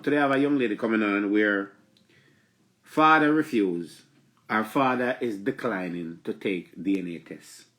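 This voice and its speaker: Stuffy Jamaican man hosting a live stream. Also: fairly low pitch. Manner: chatty, repetitive, animated when addressing viewers. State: stuffy from allergies. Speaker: live show host. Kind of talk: casual live-stream talk. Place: small room indoors. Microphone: phone camera close up.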